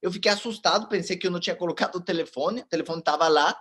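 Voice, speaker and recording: High-voiced man telling a motivational story. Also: very fast, breathy edge. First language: not Portuguese. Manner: animated storyteller, addresses the audience directly. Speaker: motivational speaker.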